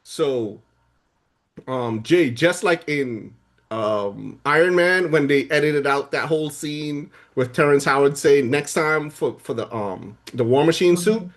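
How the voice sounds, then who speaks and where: A man from Saint Martin co-hosting a podcast, chatting in a relaxed, rambling way with lots of ums. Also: on the high side, a little slow. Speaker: podcast co-host. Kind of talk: casual chat.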